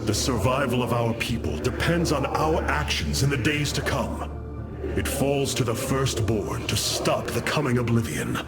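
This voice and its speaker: A man plays a noble alien commander in a game cinematic. His mid-pitched voice moves widely in tone, formal and full of solemn resolve, over loud music. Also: lively intonation, normal speed, close to an everyday voice. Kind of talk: video game cinematic acting.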